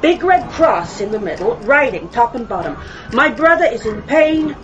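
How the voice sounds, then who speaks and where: An actress playing an elderly, chatty mother in a radio drama, rambling and gently scolding as she reminisces. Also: on the high side, very animated, moderate pace. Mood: wistful, teasing. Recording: dull, noisy radio play recording.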